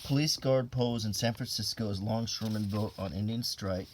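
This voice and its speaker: A man reading an old printed text aloud in an ASMR style, unhurried and low-key, with casual offhand asides. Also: a little hiss or hum.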